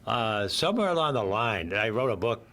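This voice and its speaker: Older man with a hoarse, low voice. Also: sing-song, moderate pace, fairly bright tone. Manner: rambling, jokey, laughs at his own quips. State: hoarse from a cold. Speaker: author and interview guest. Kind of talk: casual radio interview.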